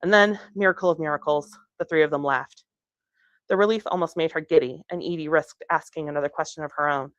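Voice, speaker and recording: A woman reading narration from a young adult novel aloud in an expressive storytelling way. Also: deep voice, brisk, no room sound, highs cut off. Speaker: author reading from their book.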